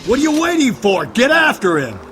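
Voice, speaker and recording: Loud car noise surrounds a gruff veteran detective, his voice pushed high and swinging widely. He sounds impatient and sardonic, in crime-game style. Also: wildly swooping pitch, a little fast, full character voice. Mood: urgent, barking. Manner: barking, sardonic. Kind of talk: video game crime drama acting.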